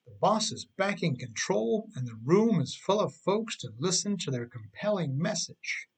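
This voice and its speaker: A man's voice: high-pitched, sing-song, moderate pace, slightly rough. A middle-aged man reading his own humorous advice book as scripted narration, with an emphatic, tongue-in-cheek delivery.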